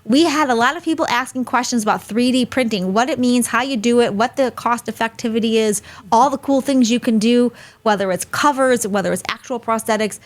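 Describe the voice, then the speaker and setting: A woman's voice: on the high side, a little fast. Chatty woman hosting. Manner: chatty, friendly, laughs easily. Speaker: host. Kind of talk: hosting a live webinar.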